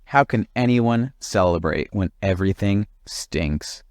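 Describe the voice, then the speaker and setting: A man's voice: lowish voice, animated, a little slow, no echo, studio quality. Man acting out a whiny, grumpy storybook monkey. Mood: exasperated complaining. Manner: whiny, exasperated. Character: grumpy, complaining young male monkey.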